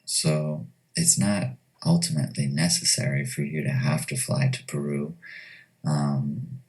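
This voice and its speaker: A man giving reflective, measured interview answers, pausing often as he thinks. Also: rumbling low, steady tone, a little mellow. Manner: measured, thoughtful, hesitant with pauses. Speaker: retreat director being interviewed. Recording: slightly distant microphone.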